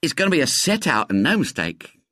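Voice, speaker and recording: A fussy, gossiping housemaid, as a male reader puts her on. Her voice climbs high and swoops about as she chatters in working-class grammar. The recording is dry and close. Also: wildly swooping pitch, fairly quick, pushed and bright, no room sound, heavily acted. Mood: gossipy.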